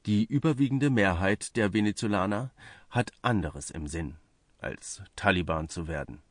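A man's voice: on the low side, expressive, moderate pace, no echo. A man reading a scripted piece of political journalism aloud as narration. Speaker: reader of a translated news article.

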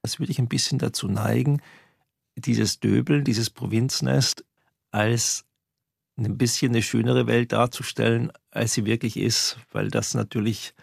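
Man interviewed, raspy and gravelly, thoughtful and halting. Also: medium pitch, fairly even intonation, dry recording, clean studio sound. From Austria. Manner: reflective, hesitant, with chuckles. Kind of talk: reflective interview.

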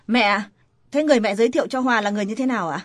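An actress playing a soft-spoken young woman who calms an angry mother in a family drama. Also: fairly high pitch, very fast, dry recording, studio quality, lightly acted. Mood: polite. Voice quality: soft, placating. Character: gentle young woman soothing her partner's mother. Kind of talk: radio-style family drama.

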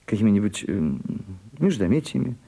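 An actor playing a prim, deferential old male secretary in a radio play, husky, hesitant and fussily polite. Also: on the low side, very animated, moderate pace, warm tone, heavily acted. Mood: hesitant, pleading. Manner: hesitant, fussy, flustered politeness. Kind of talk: theatrical radio play acting.

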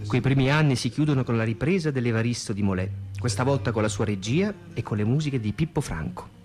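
A man's voice: medium pitch, fast talker, lightly acted. An actor playing a wry, nostalgic man narrating theatre memories for radio. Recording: music behind the voice. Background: music.

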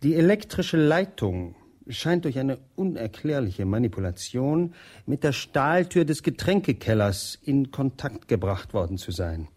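An actor playing a formal official in a radio drama who delivers grim news in a stiff, bureaucratic way. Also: male voice, mid-range voice, big pitch swings, a little slow, a little mellow. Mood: grave. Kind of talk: 1960s radio drama acting.

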